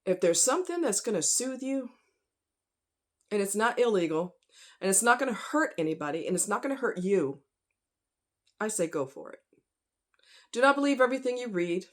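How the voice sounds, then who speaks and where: A woman's voice: medium pitch, very animated, normal speed, long pauses. A woman vlogger talking casually and directly to her viewers in a folksy, conversational, emphatic way.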